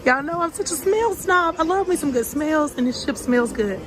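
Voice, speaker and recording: A young woman with a hoarse voice, chatting excitedly to her viewers in a casual travel vlog. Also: high voice, moderate pace, background noise. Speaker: travel vlogger.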